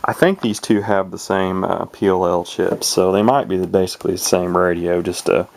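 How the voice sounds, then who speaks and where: A man's voice: low voice, steady tone, normal speed. A man giving a casual, unscripted demonstration as a hobbyist, rambling and hesitant, often correcting himself. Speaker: hobbyist presenter showing a vintage radio. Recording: clearly noisy home video recording.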